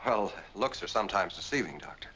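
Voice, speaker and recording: Evasive patient, 1940s film. Forceful tone under hesitant courtesy, slightly low voice. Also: male voice, lively intonation, brisk. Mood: guarded. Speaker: actor. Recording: faintly noisy 1940s film soundtrack.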